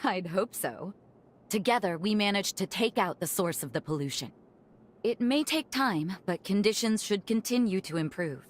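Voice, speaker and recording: A woman playing a confident, warm and teasing leader of a sunken city in a video game cutscene. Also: mid-range voice, animated, moderate pace, lightly acted. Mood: relieved, hopeful. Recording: music behind the voice.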